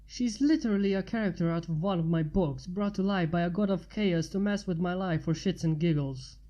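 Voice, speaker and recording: A woman's voice: lowish voice, brisk, mellow, close to an everyday voice. A woman voicing a stressed, urgent woman writer with a secret in a story reading. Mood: exasperated. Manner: urgent, hissing and pleading.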